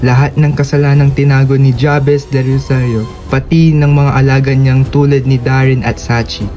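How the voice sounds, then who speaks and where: A man's voice: medium pitch, level tone, normal speed, dark tone, faint music behind, natural voice. A man acting a defiant, grieving son exposing his corrupt father in a solemn, declamatory Filipino radio drama style. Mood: vengeful, grim.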